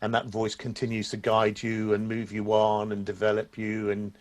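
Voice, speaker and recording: A man's voice: lowish voice, completely flat delivery, normal speed. A man interviewing a colleague, encouraging him and affirming his points with frequent yeahs. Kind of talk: conversational interview.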